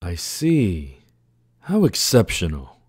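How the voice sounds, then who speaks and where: A man's voice: low-pitched, huge pitch swings, slow, darkish tone, breathy edge, no echo, clean studio sound, exaggerated voice. A man voicing a suave, coolly detached philosophical character in an anime fan dub, formal and musing. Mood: serenely musing.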